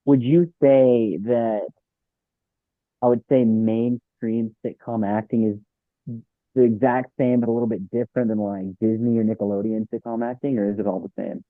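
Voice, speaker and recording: A man's voice: medium pitch, fairly even intonation, normal speed, no room sound. A man taking part in a class, casually asking a question over tinny call audio.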